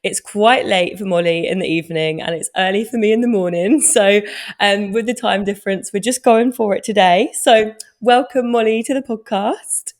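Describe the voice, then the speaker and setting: A woman's voice: medium pitch, normal speed. A woman hosting her podcast in a bubbly, gushing way, full of praise for her guest. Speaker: podcast host.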